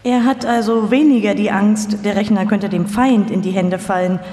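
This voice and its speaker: A woman's voice: mid-range voice, fairly even intonation, moderate pace, dark tone. Woman narrating documentary, roomy hall echo. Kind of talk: scripted narration, live radio feature. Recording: echoey hall, live stage performance.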